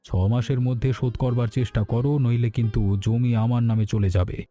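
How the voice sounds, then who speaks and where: A man voicing a calm, businesslike village landlord and moneylender in a cartoon story, measured and authoritative. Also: medium pitch, lightly acted. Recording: background music throughout.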